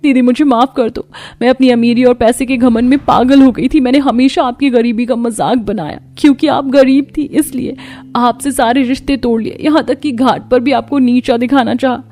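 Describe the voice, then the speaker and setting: A woman voicing a proud, scornful young wife in a cartoon story, talking sharply in a high voice. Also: fairly even intonation, moderate pace, lightly acted. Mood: remorseful. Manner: sharp, haughty, raised voice.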